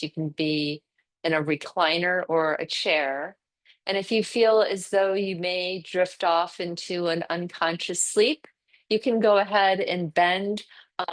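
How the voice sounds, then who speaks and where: Slow-paced woman guiding yoga and breathwork. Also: fairly low pitch. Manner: gentle, unhurried guiding with pauses. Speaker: yoga instructor. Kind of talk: guided yoga nidra and breathing instruction.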